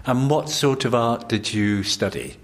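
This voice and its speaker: A man hosting a podcast, reading a polished script and then interviewing a guest in a measured way. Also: medium pitch. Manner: measured, polished presenter delivery. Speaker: podcast host.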